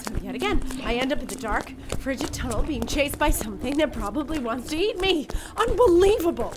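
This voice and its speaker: Exasperated young woman, high-pitched, sarcastic, emphatic, audio drama, noisy ambience. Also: lively intonation, fairly quick.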